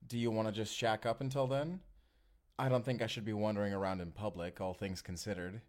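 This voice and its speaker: A man's voice: on the low side, fairly even intonation, brisk, a little mellow, natural voice. A man playing an affectionate, teasing husband from the future in an intimate audio roleplay. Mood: casual, upbeat.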